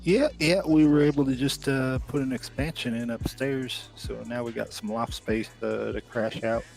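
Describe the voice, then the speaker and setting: A man playing a laid-back cannabis dealer in an improvised game roleplay, chatting in a halting, slangy way. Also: medium pitch, steady tone, vocal fry, darkish tone, faint music behind, close to an everyday voice. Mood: chatty. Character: laid-back male cannabis shop businessman in crime roleplay. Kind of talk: improvised roleplay, casual chat.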